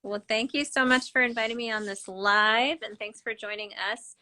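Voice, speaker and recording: A woman's voice: fairly high pitch, fairly even intonation, normal speed, bright, forceful tone. Bubbly woman hosting a live chat. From United States. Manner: bubbly, enthusiastic, laughs often. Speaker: host doctor. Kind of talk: live online interview.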